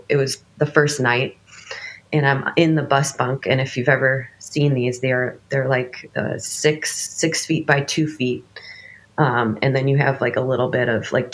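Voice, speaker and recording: A woman's voice: a bass voice, rough recording. Worship leader, late 30s woman, has a cold; loose, rambling conversational delivery. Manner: rambling, many restarts and fillers. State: fighting a cold. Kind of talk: casual conversation.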